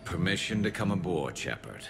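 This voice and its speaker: An actor voicing a gruff, battle-worn alien mercenary with a gravelly, very deep voice and a dry, teasing manner in a video game. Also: male voice, big pitch swings, normal speed, soft background music, exaggerated voice. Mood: wry teasing.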